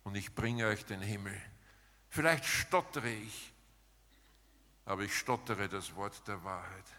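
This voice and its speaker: Slow-paced male preacher in a reverberant hall. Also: on the low side, bright, forceful tone. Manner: emphatic, rhetorical, pausing between short phrases. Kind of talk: sermon with dramatized paraphrase, closing in prayer.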